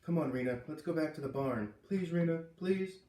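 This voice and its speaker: A man's voice: moderate pace, warm tone, natural voice. A man voicing a small frightened boy who pleads, in a storybook reading. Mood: anxious pleading. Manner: pleading, rising pitch. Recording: roomy echo, distant from mic.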